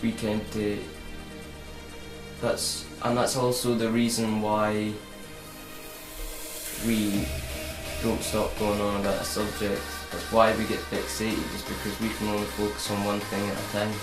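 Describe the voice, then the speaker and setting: A teenage boy talking to camera in a casual vlog, halting and repeating himself with many fillers. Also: on the low side, monotone. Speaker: vlogger. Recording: roomy echo with electronic music behind.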